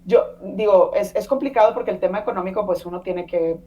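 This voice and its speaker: A woman's voice: medium pitch, brisk, warm tone, dull audio. A Mexican woman in her thirties, a podcast guest talking casually and chattily, with many fillers and tag questions. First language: Spanish. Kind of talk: casual conversational interview. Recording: distant mic.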